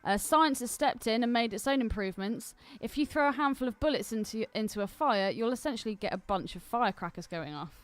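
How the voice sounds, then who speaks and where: A woman's voice: a little high, expressive, fairly quick, few pauses. A woman co-hosting a podcast, chatting casually and reading aloud, giggly and easily sidetracked. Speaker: podcast co-host. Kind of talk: casual chat, reading listener mail aloud.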